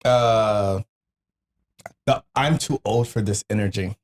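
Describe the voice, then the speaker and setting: A man's voice: fairly low pitch, slow. A man hosting a podcast in casual banter, talking informally with slang and swearing. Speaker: podcast host.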